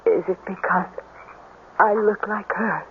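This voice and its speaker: An actress playing a mysterious, feverish swamp woman, tender and seductive, with breathy, halting, intense delivery in a 1970s radio drama. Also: mid-range voice, fairly even intonation, close to an everyday voice. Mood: yearning. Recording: muffled, noisy old radio broadcast tape.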